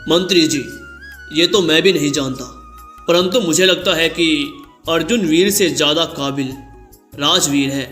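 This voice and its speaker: A man playing an elderly, fatherly king in a cartoon story, speaking in a measured, formal and grave manner. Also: a little high, a bit bright, roomy sound, soft background music. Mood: thoughtful, formal. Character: old male king, fatherly, deliberate and grave.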